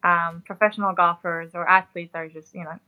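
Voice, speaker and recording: A young woman from Belgium, a professional golfer being interviewed, answering in a relaxed, chatty way with many fillers. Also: on the low side, fairly quick, narrow, tinny audio.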